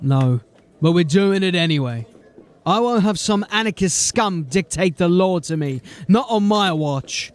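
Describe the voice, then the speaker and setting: A man playing a stern, hard-bitten, commanding police sergeant in a dark fantasy audio drama. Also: high-pitched, expressive, measured pace. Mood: wry, determined. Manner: curt, commanding.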